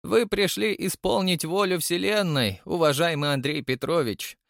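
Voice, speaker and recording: A solemn, stern lady elder in an audiobook drama, voiced by a male narrator. She speaks formally and persuasively in a high, lifted voice, as if preaching destiny. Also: normal speed, gravelly, dry recording, clean studio sound. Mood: solemn persuasion.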